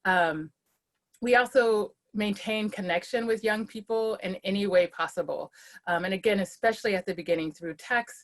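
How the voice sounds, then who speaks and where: A woman speaking on a webinar video call as a school-based behavioral health leader, earnest and steady, with frequent ums and restarts. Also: mid-range voice, normal speed. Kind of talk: webinar panel talk.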